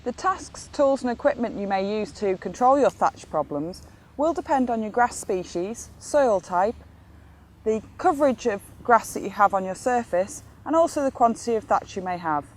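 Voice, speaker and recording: A woman's voice: a little high, expressive, moderate pace. A woman giving scripted instructional narration as an instructor in an educational film.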